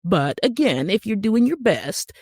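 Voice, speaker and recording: A man's voice: high voice, big pitch swings, unhurried, a little mellow, dry recording, clean studio sound. A man talking conversationally on a podcast about his craft, opinionated and emphatic.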